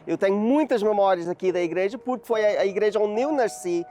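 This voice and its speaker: A man's voice: sits high, fairly quick. A man, hosting the show and welcoming viewers. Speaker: host. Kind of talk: show introduction.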